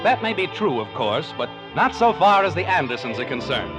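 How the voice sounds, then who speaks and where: A man's voice: on the high side, big pitch swings, brisk, rough recording. A man playing a wry, mock-solemn narrator in a 1950s radio sitcom. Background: music.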